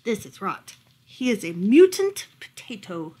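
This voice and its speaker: A woman reading a children's picture book aloud at home in an animated storytelling style. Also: mid-range voice, a little slow, slight background noise. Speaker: librarian reading bedtime stories. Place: furnished room at home, indoors.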